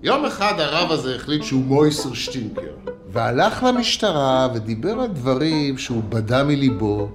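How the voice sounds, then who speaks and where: A man's voice: medium pitch, very animated, roomy sound, music in the background, close to an everyday voice. A man playing a sinister mock-pious rabbi. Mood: menacing. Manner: theatrical storytelling, menacing, mock-pious. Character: sinister sanctimonious rabbi, threatening in parables.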